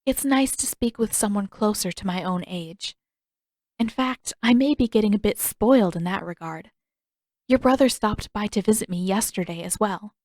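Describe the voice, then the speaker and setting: A woman voicing a gracious, sweet young princess in a fantasy audiobook, speaking politely and with gentle formality. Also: medium pitch, normal speed, no echo, lightly acted. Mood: friendly, candid.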